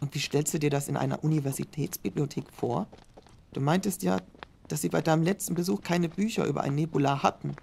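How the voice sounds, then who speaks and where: Man playing a gravelly skeptical student. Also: a little high, fast, a little mellow, a little hiss or hum. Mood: reasoning. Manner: doubtful, questioning. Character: young male student, skeptical sidekick. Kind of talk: German fan audio drama.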